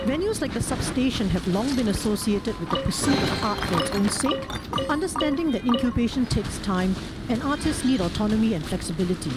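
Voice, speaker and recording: A woman with a Singaporean accent delivers a formal parliamentary speech in a slightly high voice. Loud music plays behind her throughout. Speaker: member of parliament. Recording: game music over parliamentary speech audio. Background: loud music from a video game.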